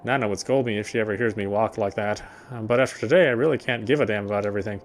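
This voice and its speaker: A man narrating a story in the first person, reading in a steady, reflective way with small slips. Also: fairly low pitch, very fast, slight background noise.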